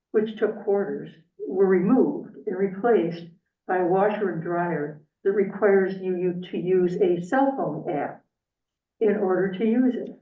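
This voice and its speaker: A woman telling a plain, slightly wry story in a group discussion. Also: lowish voice. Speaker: discussion participant. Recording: distant, phone-like, echoey.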